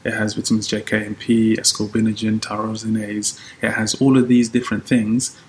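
Low, flat-voiced Colombian-born man. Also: fairly quick, slight background noise. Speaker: podcast guest. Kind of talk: casual interview talk.

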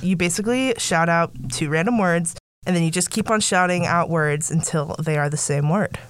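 A woman's voice: on the low side, moderate pace, no room sound. A woman in her late teens co-hosting a student show with casual, giggly, slangy banter, her voice a little scratchy from allergies. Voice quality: slightly scratchy, softened from allergies.

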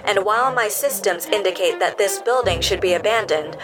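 An earnest health-care robot voiced by a woman in an audio drama. The voice sits at a middle pitch and words things formally and precisely. It comes across as curious and caring, with music underneath. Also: fairly quick, close to an everyday voice. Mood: measured, concerned. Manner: precise, polite, formal wording.

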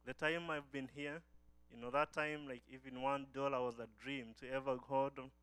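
A man's voice: medium pitch, little pitch movement, normal speed, a bit bright, clear, resonant tone, dry recording. A young man from Uganda giving an earnest church testimony as a guest speaker, often restarting his phrases.

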